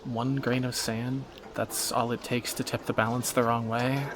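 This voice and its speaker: A man's voice: medium pitch, little pitch movement, moderate pace, background noise, natural voice. A man playing a wry young thief in a romantic audio roleplay, teasing and sardonic in a conversational way, anxious yet affectionate. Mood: incredulous, worried. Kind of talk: scripted romantic audio roleplay, spoken to the listener.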